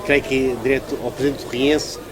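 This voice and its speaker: A man's voice: medium pitch, flat delivery, moderate pace, background noise. A man with a European Portuguese accent giving a conversational political interview as a local politician, arguing emphatically and repeating phrases for stress.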